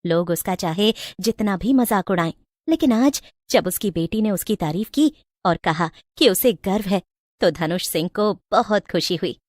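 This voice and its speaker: A woman's voice: medium pitch, expressive, normal speed, dry recording. Female storyteller for scripted moral tales, close and dry recording. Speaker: story narrator.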